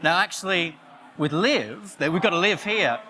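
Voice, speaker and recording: A man with an Australian accent giving a playful conference talk, loudly joking and chatting with the audience. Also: high-pitched, animated, normal speed, slight background noise. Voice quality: loud voice. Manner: jokey, playful, chatty with the crowd. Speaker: conference speaker. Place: conference stage, audience on headphones.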